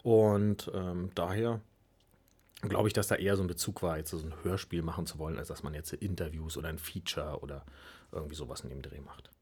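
Male studio guest, rough-edged lower voice, casual and hesitant conversational delivery. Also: fairly even intonation, fairly quick, a little mellow. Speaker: youth radio workshop leader, interview guest. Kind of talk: casual conversational interview answers. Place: radio studio.

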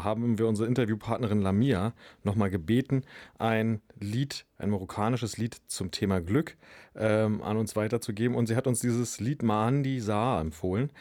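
A man presenting a school radio segment, introducing a song and the next part with a slightly halting delivery. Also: lowish voice, a little mellow, dry recording.

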